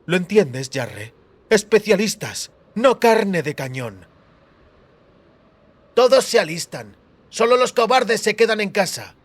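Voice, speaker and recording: Man playing a stern, brusque high priestess. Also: very animated, takes it slowly, long pauses, no echo, heavily acted. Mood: firm, lecturing. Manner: brusque, mocking, authoritative.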